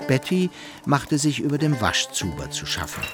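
Male narrator for radio drama: mid-pitched, animated, literary storytelling with music underneath. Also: normal speed. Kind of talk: scripted radio play narration.

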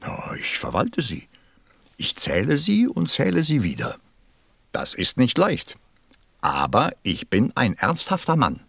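An actor playing a busy, self-important middle-aged businessman in a radio play, with a gravelly, husky voice and a testy, muttering manner. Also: medium pitch, wildly swooping pitch, unhurried, fairly bright tone, no room sound, heavily acted. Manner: pompous, testy, muttering, swooping. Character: busy, self-important 54-year-old businessman counting stars.

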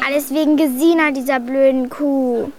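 A woman's voice: high-pitched, fairly even intonation, normal speed, mellow, slight background noise, close to an everyday voice. An actress playing a feisty little lost girl in a German radio drama, childlike and plain-spoken. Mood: cross.

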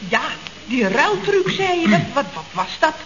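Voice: high voice, very animated, normal speed, a bit bright, muffled recording, slight background noise. An actor playing a curious woman who keeps asking eager short questions in a 1960s radio comedy. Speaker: colleague, addressed as Lien.

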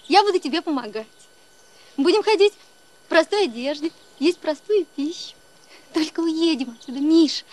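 An actress playing a devoted, emotional woman who pleads fervently in a film drama. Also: high voice. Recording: noisy film soundtrack.

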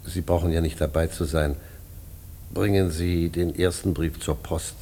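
An actor playing a grave, weary prison director in a German radio play, speaking in a measured, pensive way. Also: male voice, sits low, steady tone, dark tone. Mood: grave, commanding. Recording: long hall reverb, faint hiss.

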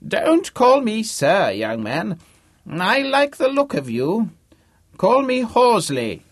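A man voicing a crotchety, imperious ninety-year-old in a loud old voice for a storybook reading. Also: sits high, huge pitch swings, slow pace, no echo, clean studio sound, voice pushed up, exaggerated voice. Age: older.

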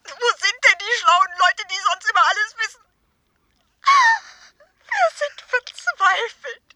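A woman's voice: extremely high pitch, lively intonation, slow, sharp tone, a little hiss or hum, exaggerated voice. An actress playing a desperate, crying woman who phones a live radio show in a German radio play, pleading. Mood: desperate, tearful. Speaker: caller to a radio programme.

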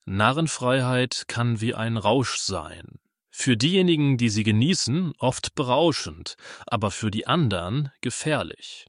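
A pragmatic works councillor with a sarcastic streak, acted by a man for a satirical radio play. His delivery is measured, with an average male pitch and no room sound at all. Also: expressive, no echo, studio quality. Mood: dry, firm. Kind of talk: German radio play acting.

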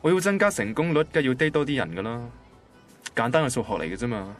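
A man's voice: mid-range voice, sing-song, fast, soft background music. Pedantic, nerdy young Hong Kong guy. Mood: matter-of-fact. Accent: Hong Kong Cantonese. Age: young adult. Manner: matter-of-fact, pedantic. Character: ordinary nerdy young male banker, literal-minded, defensive. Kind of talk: radio drama dialogue.

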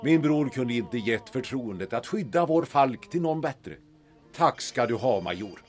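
An actor playing a haughty, condescending Stockholm gentleman in a period audio drama, speaking formally and theatrically. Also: mid-range voice, animated, soft background music, natural voice. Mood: formal, gratified. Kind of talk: period radio drama acting.

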